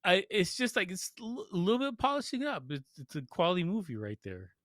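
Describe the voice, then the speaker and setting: A man's voice: high voice, sing-song, brisk, fairly bright tone, no room sound, clean studio sound. A man co-hosting a podcast, giving informal, loosely phrased opinions in a casual movie review chat. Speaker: podcast co-host.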